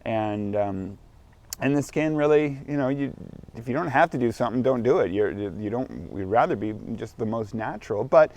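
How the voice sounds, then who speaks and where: A man's voice: medium pitch, expressive, normal speed, darkish tone. A man in his forties, vlogging outdoors in morning sunshine, talking candidly in a rambling, self-correcting way. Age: 40s. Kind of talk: casual self-recorded vlog.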